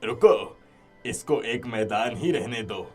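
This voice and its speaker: A man's voice: mid-range voice, lively intonation, takes it slowly, darkish tone. A man playing a kindly but firm, aggrieved old village father in a moral-story cartoon. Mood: firm, earnest. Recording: music behind the voice.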